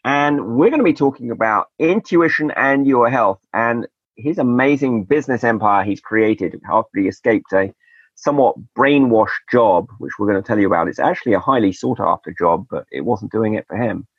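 Podcast host, male, low gravelly voice, dull dry sound, wry and chatty. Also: animated, normal speed, no echo. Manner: chatty, digressive, wry asides. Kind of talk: casual interview chat.

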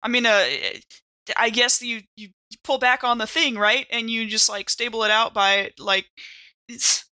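A woman's voice: on the high side, steady tone, normal speed, bright, forceful tone, clear, resonant tone, dry recording. A woman voicing a nervous, hesitant but kindly male space marine medic in a tabletop game. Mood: flustered. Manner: hesitant, slangy.

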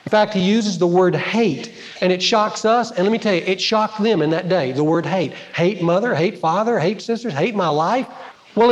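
A man preaching a sermon to a congregation, dramatic and emphatic, swinging between loud stresses and quiet asides. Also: high voice, lo-fi sound. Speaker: pastor. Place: church sanctuary. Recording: large hall with long reverb.

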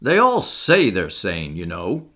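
A man's voice: low-pitched, wildly swooping pitch, slow pace, sharp tone, exaggerated voice. A man voicing a big, cheerful but callous asylum keeper in an audiobook, with a working-class English accent and blunt manner. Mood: dismissive. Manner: blunt, colloquial.

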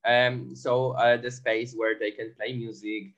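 A man's voice: medium pitch, flat delivery, measured pace, a bit bright, muffled recording. A man from Poland, speaking English as a second language, answering interview questions with many fillers and restarts. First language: Polish. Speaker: guest entrepreneur.

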